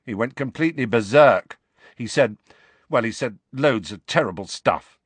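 A man's voice: mid-range voice, lively intonation, normal speed, husky, no room sound, voice pushed up. A man reading an audiobook, voicing a glum teenage boy who talks in a casual, blunt, slangy way. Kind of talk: audiobook character voice.

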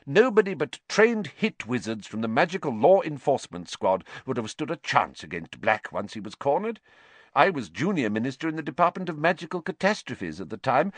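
A man voicing a pompous, grave, fussy middle-aged government minister in a storybook, telling things in a measured way. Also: on the high side, no room sound, pitched above the performer's own voice.

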